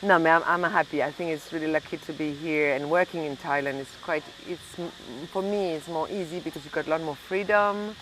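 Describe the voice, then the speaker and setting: A woman's voice: sits low, fairly quick, few pauses, fairly bright tone, a little hiss or hum, poor audio. A middle-aged woman, a native French speaker from Belgium and southern France with a French accent, chatting casually in an interview and often searching for words. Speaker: interview guest, hotel and restaurant owner.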